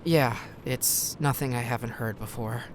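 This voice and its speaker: Teenage underdog boy, low pitch, polite, restrained, audio drama, faint background rumble. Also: fairly even intonation, measured pace, low-quality recording. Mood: subdued. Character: quietly resilient, polite teenage schoolboy underdog.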